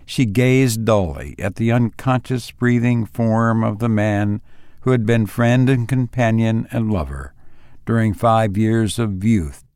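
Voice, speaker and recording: A man speaking as a podcast host and story reader, with measured, deliberate phrasing, close on a voice-over mic. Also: lowish voice, a little mellow, clean tone, dry recording. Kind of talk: podcast introduction and audiobook-style narration.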